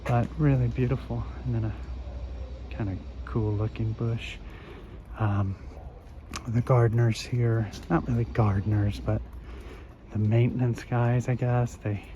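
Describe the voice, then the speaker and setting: Hoarse male vlogger, loose filler-heavy street-tour chatter, muffled outdoor phone audio with passing cars. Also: mid-range voice. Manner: rambling, unhurried, many fillers. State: hoarse, recovering from illness. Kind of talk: walking tour commentary. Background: street traffic.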